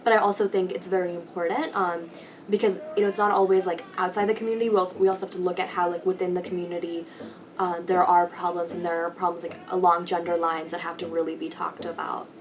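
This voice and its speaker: A young woman student giving thoughtful, halting answers in a casual interview, often saying "like". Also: fairly low pitch, flat delivery. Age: young adult. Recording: distant mic with faint room noise.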